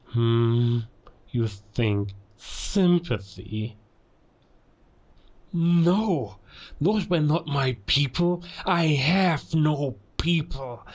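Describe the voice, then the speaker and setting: A man playing a menacing, grandiose werewolf king, speaking theatrically in a cinematic audio roleplay monologue, contemptuous and deliberate. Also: a little high, lively intonation. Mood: proud, intense. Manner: slow, theatrical, deliberate, with hushed dramatic pauses.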